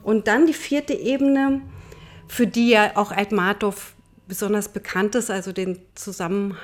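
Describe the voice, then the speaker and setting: Thoughtful woman with a medium-pitched voice. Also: fairly even intonation, measured pace, soft background music. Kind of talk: reflective interview.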